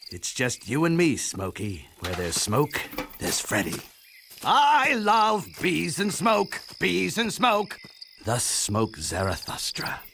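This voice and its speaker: An actor playing a crazed, smoke-obsessed villain beekeeper in an animated video game, drawling theatrically with gleeful menace. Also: male voice, fairly high pitch, slow pace, creaky, background noise, exaggerated voice. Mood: brooding, obsessive. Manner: theatrical, drawling, self-amused.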